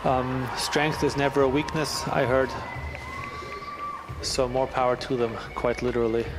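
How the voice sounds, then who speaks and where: A man's voice: medium pitch, steady tone, measured pace, loud music behind the voice, a loud crowd. A man giving upbeat, joking live commentary at a fitness competition. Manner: upbeat, joking, chatty with restarts. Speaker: event commentator. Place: large event hall. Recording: long reverb in a big hall, faint ambience.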